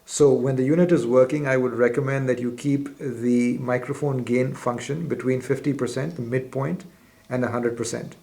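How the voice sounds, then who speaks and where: A man's voice: medium pitch, steady tone, normal speed, vocal fry, dark tone. A man presenting a step-by-step instructional demonstration in a methodical, formal, explanatory way. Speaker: product demonstrator.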